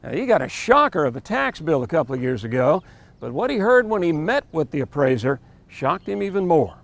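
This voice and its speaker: A man's voice: on the high side, big pitch swings, brisk, gravelly. An American man delivering scripted TV reporting and reviews as a reporter.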